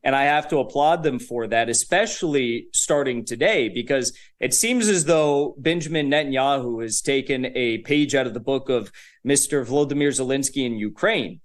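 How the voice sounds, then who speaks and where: Young male guest commentator, mid-range pitch, casual and emphatic delivery. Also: normal speed. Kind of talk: political commentary.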